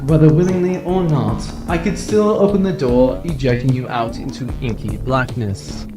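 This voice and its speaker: A man's voice: medium pitch, moderate pace, lightly acted. Pompous, menacing rogue ship computer played by a man. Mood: coldly menacing. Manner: formal, precise, pompous. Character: rogue ship's artificial intelligence, coldly pedantic and menacing. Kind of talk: audio drama acting. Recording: loud music under the voice.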